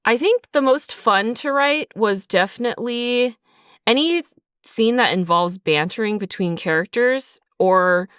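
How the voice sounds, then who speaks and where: A woman's voice: a little high, a little slow, fairly bright tone, clean tone, dry recording, clean studio sound. A woman writer explaining her creative process in a casual, reflective interview style. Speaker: writer and creator of an audio drama.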